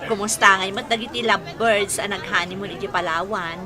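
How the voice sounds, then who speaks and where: A woman's voice: medium pitch, steady tone, a little fast, a crowd in the background, close to an everyday voice. A woman playing an eager, nosy young single friend in an Ilocano-accented radio comedy-drama, exclamatory and teasing. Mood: curious.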